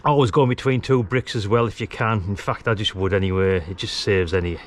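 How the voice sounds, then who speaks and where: A man's voice: on the low side, brisk. A British man giving a casual, chatty hands-on how-to commentary in an informal, easygoing manner. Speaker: DIY tutorial presenter. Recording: clearly noisy on-location recording.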